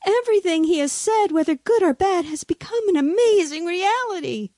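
Aggrieved villagers, played by a woman, speak with indignation in a theatrical storybook style. The voice is pushed very high and moves in a lively way, with a dry sound. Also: moderate pace, dry recording. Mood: amazed. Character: indignant, aggrieved villagers and parents accusing a child. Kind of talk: dramatic storybook read-aloud.

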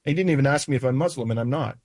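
A man in his sixties with a North American accent recounts events in an interview, pausing often with uhs and restarts. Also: medium pitch, a little mellow, no echo, clean studio sound. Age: 60s. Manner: halting, with frequent uhs and restarts. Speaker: interview guest.

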